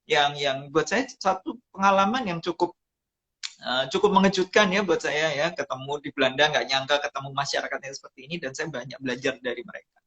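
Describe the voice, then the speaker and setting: Reflective man from Java, higher voice. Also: sharp tone. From Java, Indonesia. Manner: reflective, with frequent "eh" pauses between phrases. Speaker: guest, writer and photographer. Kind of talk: conversational storytelling in a live-streamed interview. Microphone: video call.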